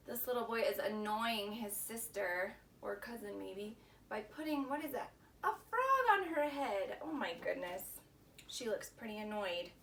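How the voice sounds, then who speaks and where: A woman's voice: medium pitch, a bit bright. A woman teacher leading story time for young children, prompting them and repeating words for them to echo. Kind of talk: instructional talk to young children. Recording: distant mic with faint background noise.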